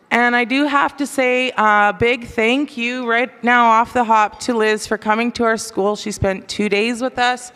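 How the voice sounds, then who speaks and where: A woman's voice: fairly high pitch, clean tone. A woman teacher giving a chatty introduction of the next speaker at a conference. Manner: warm, chatty, with fillers. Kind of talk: introduction speech. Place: large conference hall. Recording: long hall reverb.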